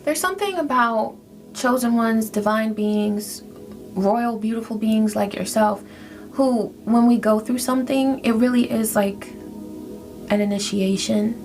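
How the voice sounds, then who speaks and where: A woman with a slightly high voice reads tarot cards in a calm, affirming tone. Clear music plays under her voice the whole time. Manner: soothing, affirming, speaks slowly to a listener. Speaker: tarot reader. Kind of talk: spiritual tarot reading. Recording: music playing behind.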